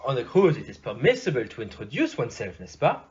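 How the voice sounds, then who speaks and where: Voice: medium pitch, wildly swooping pitch, fast, slightly rough, heavily acted. A performer voicing a courteous, shrewd, fatherly male detective in an audio play, with formal, theatrical phrasing and a put-on French accent. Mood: courteous, probing. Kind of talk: amateur audio play acting. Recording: dull, muffled lo-fi.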